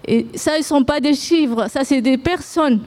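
A woman's voice: sits high, darkish tone. A woman from Sudan with an Arabic accent, speaking hesitantly at a public gathering as an association representative. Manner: hesitant, halting, many fillers and restarts.

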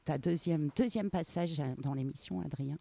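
Low-voiced woman hosting a radio chat. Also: moderate pace, creaky, mellow. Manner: chatty, hesitant with many restarts. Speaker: radio host.

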